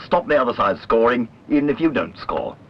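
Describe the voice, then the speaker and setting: A man reading a literary documentary narration in a measured, wry way. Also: mid-range voice, sing-song. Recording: dull lo-fi old film soundtrack, clear noise.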